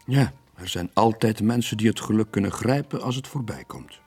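Middle-aged ex-sailor, low husky voice, gruff, resigned, terse, 1980s radio drama. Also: male voice, normal speed, a little mellow, faint music behind. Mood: subdued, weary.

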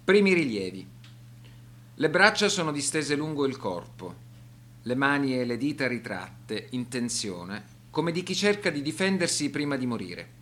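A man's voice: medium pitch, sing-song, unhurried, a bit bright. Formal male narrator, mid-range voice. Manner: formal, factual, carefully phrased. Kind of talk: scripted documentary narration for radio drama.

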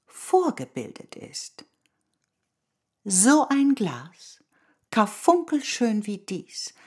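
A woman playing a haughty, covetous electress in a radio drama, speaking grandly and deliberately with a husky voice and archaic phrasing. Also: mid-range voice, full character voice.

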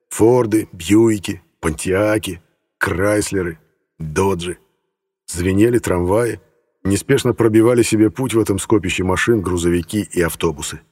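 A man's voice: on the low side, mellow, in a large hall. A man reading first-person fiction as a scripted audiobook narration.

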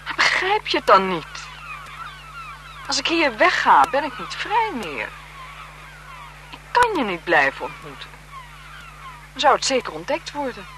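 A woman's voice: high-pitched, wildly swooping pitch, measured pace, long pauses, bright, forceful tone, exaggerated voice. An actress playing a cool, haughty married woman with a condescending manner in a radio thriller. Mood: alarmed. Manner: condescending, dismissive, dramatic. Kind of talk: 1970s Dutch radio thriller acting. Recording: noisy 1970s radio drama broadcast.

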